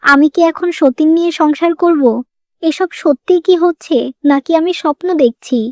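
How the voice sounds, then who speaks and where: A woman's voice: very high-pitched, steady tone, normal speed, dry recording, studio quality. A woman voicing an emotional, plaintive young modern wife in a moral-story cartoon. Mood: heartbroken.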